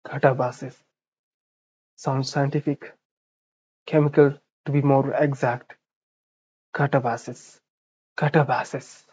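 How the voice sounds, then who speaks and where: A streamer narrates a visual novel in a medium-pitched voice. The reading is slow, broken up by offhand remarks, and the sound is a little muffled. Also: little pitch movement, a little mellow, husky. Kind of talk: reading game narration aloud with casual asides.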